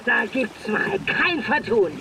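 A woman playing an excitable race commentator at a pirate boat race in a children's radio play, breathless and dramatic. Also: fairly high pitch, takes it slowly, vocal fry, background noise, full character voice. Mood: impressed, excited.

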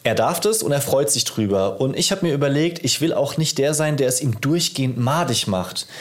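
A man's voice: mid-range voice, animated, fairly quick. A man in his thirties talking casually as a podcast host, explaining his thoughts in a reflective, conversational way. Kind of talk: casual chat between two dads.

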